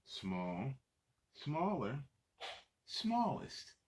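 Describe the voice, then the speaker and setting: A man hosts a children's story time in a slow, unhurried delivery. His pitch moves up and down in a very lively way as he reads and chats directly to the kids. The style is casual, with small asides between the lines of the book. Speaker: children's story reader and host. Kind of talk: casual read-aloud of picture books to children.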